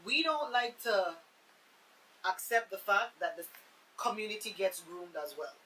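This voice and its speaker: A woman's voice: medium pitch, animated, unhurried, bright, forceful tone, far from the mic, poor audio. A woman radio panelist talking conversationally, explaining emphatically and checking for agreement as she goes. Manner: explanatory, emphatic, seeks agreement with tag questions.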